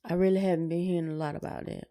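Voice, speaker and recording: A woman co-hosting a casual podcast, answering in brief, low-key, agreeable replies. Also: fairly even intonation, racing, mellow. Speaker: podcast co-host. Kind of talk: casual conversational podcast chat.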